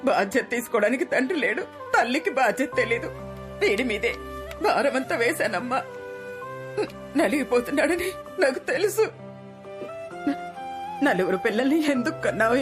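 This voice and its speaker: Worn mother of four, high voice, guilty confessional tone, over a strong music bed. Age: 50s. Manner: rambling, then tearful confession. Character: worn, self-blaming mother of four in her fifties. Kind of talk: film drama scene. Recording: film score under dialogue.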